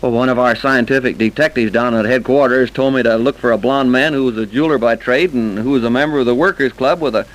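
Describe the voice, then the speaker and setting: An actor playing a bumbling, folksy police detective who stammers and hesitates, in old-time radio drama style. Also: male voice, medium pitch, fast, few pauses, close to an everyday voice, rough recording. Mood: baffled, hesitant. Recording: vintage radio broadcast recording.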